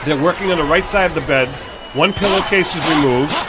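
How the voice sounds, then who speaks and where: A man hosting a radio show, giving mock live parade commentary in an excitable way, full of exclamations and questions to his co-hosts. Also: on the high side, brisk. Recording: noisy radio broadcast with loud crowd.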